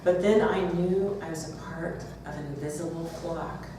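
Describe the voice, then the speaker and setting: Woman author, low voice, live reading event, distant from the mic, noisy background. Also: normal speed, heavy reverb. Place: bookstore, audience event.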